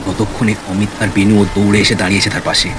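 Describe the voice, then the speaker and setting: A man with a low voice narrates a scripted horror tale dramatically and with suspense. Loud rain and storm noise runs behind his narration. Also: flat delivery, fast talker. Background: rain, storm effects.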